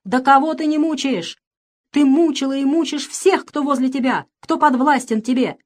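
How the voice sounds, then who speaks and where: A woman's voice: mid-range voice, lively intonation, moderate pace, lightly acted. Woman playing an anguished, hot-tempered nobleman son. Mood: furious accusation. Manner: impassioned, shouted accusations.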